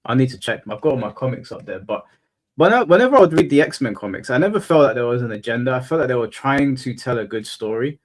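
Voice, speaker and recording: A man hosting a laid-back panel discussion in a mid-range voice. His delivery stays monotone. He stops and restarts his sentences as he works out what he means. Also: medium pitch. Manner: halting, restarts often while thinking. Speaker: podcast host. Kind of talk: casual panel chat.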